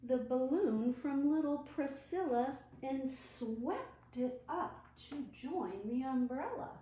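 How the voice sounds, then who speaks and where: A woman children's librarian reading aloud to young children in a deliberate, expressive, sing-song way. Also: high-pitched. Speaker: children's librarian hosting storytime. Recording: roomy, echoing room sound.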